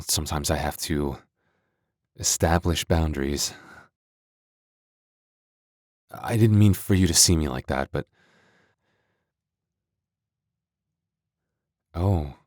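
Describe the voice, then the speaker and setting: Young male werewolf boyfriend , acted by a man: deep, soft, hesitant, protective and affectionate; intimate ASMR roleplay, dry recording. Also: normal speed, dry recording. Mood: apologetic, uneasy.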